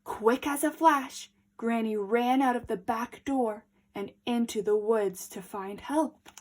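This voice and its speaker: A woman storyteller reading aloud to children, expressive and deliberate, with dramatic emphasis. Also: a little high.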